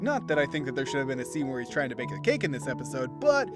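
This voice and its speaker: A man's voice: medium pitch, very animated, fast. A man narrating a scripted review as host in a theatrical, opinionated manner. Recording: background music behind voice. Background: instrumental music.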